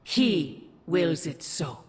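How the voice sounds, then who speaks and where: Imperious sorceress, cavernous reverb. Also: female voice, deep voice, expressive, drawn-out words, slight background noise, exaggerated voice. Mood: fervent, commanding. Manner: archaic, theatrical, grave phrasing. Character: imperious sorceress mother, scornful and commanding. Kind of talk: dramatic video game acting. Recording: long cavernous reverb over music.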